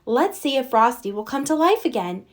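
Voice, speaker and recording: A woman's voice: sits high, big pitch swings, normal speed. A woman voicing a sweet, earnest little girl in a children's storybook, exclaiming hopefully. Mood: excited, hopeful. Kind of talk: children's storybook read-aloud.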